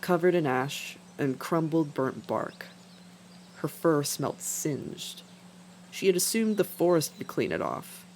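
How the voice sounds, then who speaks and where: A woman's voice: low-pitched, lively intonation, slow pace, darkish tone, slight background noise. A woman reading scripted fiction as a dramatic narrator. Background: music.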